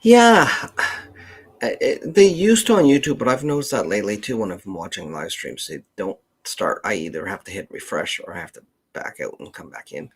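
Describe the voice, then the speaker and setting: Low, gravelly man, halting. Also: expressive. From Canada. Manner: halting, hesitant delivery. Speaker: genealogist live stream host. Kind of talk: casual unscripted live stream chat.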